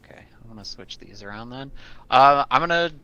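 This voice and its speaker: A man's voice: medium pitch, expressive, fairly quick, bright, forceful tone, dull audio. A man chatting casually as a player in a tabletop roleplaying game.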